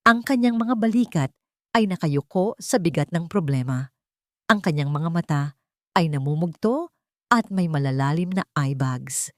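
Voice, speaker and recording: A woman narrating a scripted drama story in a measured, deliberate way with dramatic phrasing. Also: low voice, animated, no echo, studio quality.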